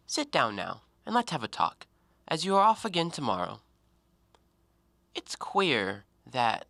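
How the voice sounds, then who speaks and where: An actor in an audio play, playing a talkative, self-assured intellectual who probes and needles with articulate, deliberate theatrical phrasing. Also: male voice, fairly high pitch, creaky, a bit bright, no echo, full character voice. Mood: probing, pointed. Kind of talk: stage-style audio play monologue.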